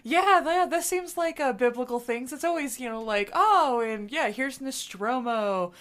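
A woman's voice: high-pitched, a bit bright. Teasing young woman co-hosting. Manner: teasing, quick jokes. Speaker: podcast co-host. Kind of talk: casual movie podcast chat.

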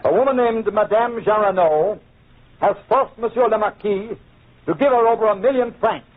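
An actor playing a smug, declamatory chevalier who accuses as a courtroom barrister in an old-time radio drama. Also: male voice, sits high, normal speed. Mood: smug accusing. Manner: declamatory, insistent. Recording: tinny, narrow old radio recording.

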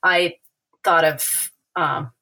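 Argentine woman guest, Spanish first language, lower bright voice, thoughtful with lots of ums. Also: steady tone, very slow, creaky. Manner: thoughtful, many hesitations and fillers. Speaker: guest author, historian. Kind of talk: academic interview.

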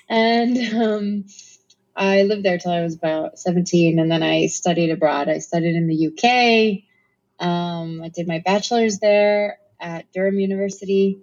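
A woman guest from Norway chats easily in an interview, laughing often and filling pauses with ums. Her voice sits at a middle pitch for a woman. The recording is a little dull and muffled. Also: a little mellow. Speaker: actress and guest. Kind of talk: casual interview chat.

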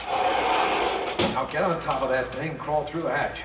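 An actor playing a furious, domineering boss bellowing orders in a classic radio drama. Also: male voice, medium pitch, fairly even intonation, slow, fairly bright tone, dull audio. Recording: echoey, distant, noisy old radio recording.

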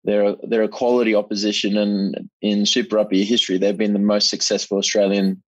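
Young man, low flat voice, modest, halting, dull lo-fi remote line. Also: no echo. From Zimbabwe. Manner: modest, repeats words while thinking. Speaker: rugby player guest. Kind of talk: casual interview answers.

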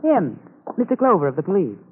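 An actress playing a brisk, protective, worldly lawyer in an old radio drama. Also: female voice, fairly low pitch, very animated. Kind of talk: 1950s radio drama acting. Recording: tinny, slightly noisy old radio broadcast.

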